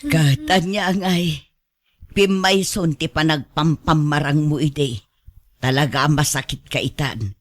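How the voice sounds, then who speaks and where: A man plays a fretful old Ilocano parent who nags and complains. The voice is high and the words come slowly. It is acted for radio drama. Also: fairly bright tone. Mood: complaining.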